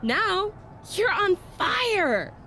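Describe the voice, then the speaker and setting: A woman playing a cocky, excitable young wrestler in a video game cutscene, with playful emphasis. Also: very high-pitched, very slow, slight background noise, full character voice. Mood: playful, excited.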